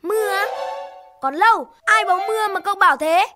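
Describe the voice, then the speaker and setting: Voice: extremely high pitch, a little fast, music in the background. An actor voicing a small, eager young animal in a cartoon, childlike and earnest. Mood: bickering.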